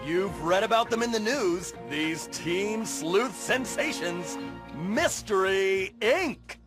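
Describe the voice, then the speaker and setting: High-pitched, showy male TV host over music. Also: lively intonation, slow pace. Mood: grand, announcing. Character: excitable, showy TV ghost-hunting show host and producer. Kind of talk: announcer-style cartoon game acting. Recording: music behind the voice.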